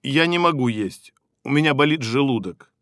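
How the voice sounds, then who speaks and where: A man reading medical phrases one by one for a language lesson, in a measured, neutral manner with pauses between phrases. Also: medium pitch, expressive, fairly bright tone, no echo, studio quality. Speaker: phrasebook reader. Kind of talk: slow, clearly spaced phrasebook reading.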